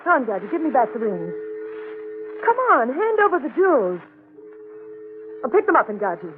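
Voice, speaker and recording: A woman's voice: a little high, big pitch swings, moderate pace. A woman playing an anxious, tender young wife with melodramatic delivery in a 1940s radio thriller. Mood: playful, coaxing. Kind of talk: 1940s radio mystery acting. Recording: noisy, dull old radio recording.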